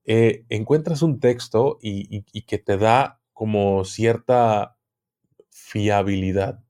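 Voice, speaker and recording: A man's voice: lowish voice, slow, dark tone. A man, a podcast guest talking casually and reflectively, explaining with everyday examples and laughing often. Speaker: podcast guest, occultism channel host. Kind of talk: casual interview chat.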